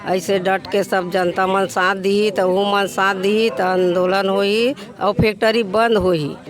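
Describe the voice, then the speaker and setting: A man's voice: high-pitched, level tone, slow, crowd noise. A man with a Chhattisgarhi accent giving plain colloquial testimony as an interviewee. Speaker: interviewee from a victim's family.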